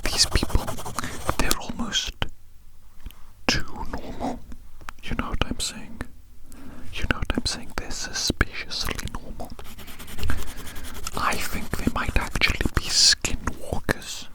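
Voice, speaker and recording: A person whispering very close to the mic in a breathy, unvoiced ASMR roleplay ramble, softly repeating words and phrases. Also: slow pace, long pauses. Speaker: ASMR artist playing an alien who draws on the listener's face. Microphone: very close microphone.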